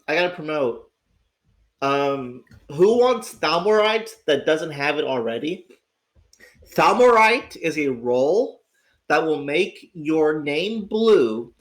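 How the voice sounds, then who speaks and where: A man with a raspy, sick voice, chatting casually as host of his livestream, rambling and swearing with lots of restarts. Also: on the high side, a bit bright. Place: at home.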